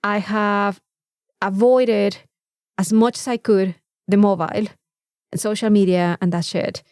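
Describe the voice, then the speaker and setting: A woman chatting casually as a podcast co-host, animated and free with swearing. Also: mid-range voice, measured pace, clean tone, dry recording, clean studio sound. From Mexico. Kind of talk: casual chat.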